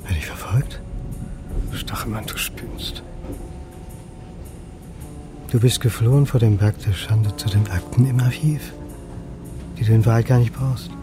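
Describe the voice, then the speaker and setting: Anxious low-voiced academic played by a man over music. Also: a little slow, long pauses, close to an everyday voice. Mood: uneasy, self-reproaching. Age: 40s. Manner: introspective, self-reproaching. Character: anxious, self-doubting history lecturer, paranoid and lovesick. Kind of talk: radio drama inner monologue and dialogue.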